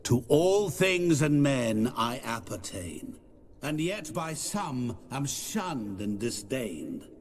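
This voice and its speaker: An actor playing a genial, sinister demon posing as a merchant, courteous and quietly menacing in a video game cutscene. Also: male voice, medium pitch, sing-song. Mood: playful riddling. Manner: speaks in slow, sing-song riddles. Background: orchestral game music.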